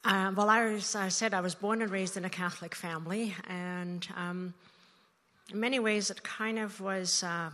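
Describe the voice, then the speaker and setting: A woman in her sixties, raised in the Netherlands, speaks in a somewhat low voice as she tells her faith story, pausing often on fillers. Her handheld mic picks her up in a church sanctuary, and a long reverb trails each phrase. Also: sharp tone. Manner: thoughtful, halting, many fillers. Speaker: interview guest, church member. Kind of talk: personal testimony in church interview.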